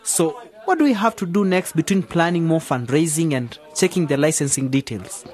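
Actor doing a young student guy in a radio play, doubtful and outspoken, lightish lively voice. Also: a little high, moderate pace, lightly acted. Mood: curious. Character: sceptical, outspoken young male journalism student. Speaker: actor in an educational radio drama. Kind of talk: scripted radio drama dialogue.

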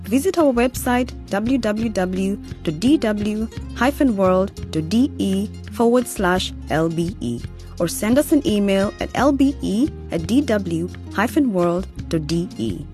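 A woman presenter reads the scripted close of an educational radio show, with lively, inviting intonation. Faint music runs underneath. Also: medium pitch, unhurried. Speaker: program presenter. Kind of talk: scripted outro narration.

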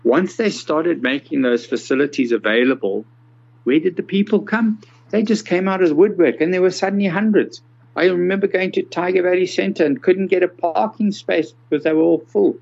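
A South African man in his sixties giving measured, explanatory answers in a conversational interview. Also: sits high, vocal fry, darkish tone, husky, highs cut off. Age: 60s. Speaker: interview guest, accessibility consultant.

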